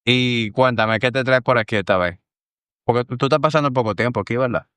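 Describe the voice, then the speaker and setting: Relaxed Dominican podcast host. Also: male voice, medium pitch, a little fast, clear, resonant tone, no room sound. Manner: relaxed, slangy, conversational. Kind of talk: casual interview chat.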